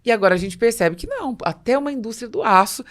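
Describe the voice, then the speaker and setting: A woman's voice: on the high side, very animated, fast. A Brazilian woman hosting a business podcast, explaining points with examples and rhetorical questions. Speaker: podcast host.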